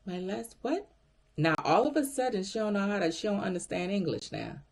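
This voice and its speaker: A woman with a deep voice telling a story to her viewers in a casual, animated way. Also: fast talker, background noise. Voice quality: deep, gravelly voice. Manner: chatty and animated, addresses viewers directly. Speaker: vlogger.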